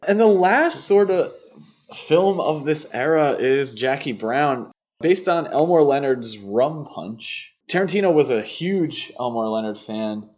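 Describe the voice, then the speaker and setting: A man's voice: on the high side, lively intonation, unhurried, a little mellow. Dull-sounding man co-hosting a podcast. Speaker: podcast co-host. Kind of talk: casual film discussion. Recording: dull, muffled lo-fi podcast audio.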